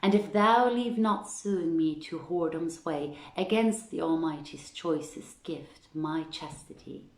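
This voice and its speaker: A woman voicing a proud, defiant young princess from an Arabian storybook tale, declaiming archaic lines emphatically in a roomy space. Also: sits low. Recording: roomy home livestream echo.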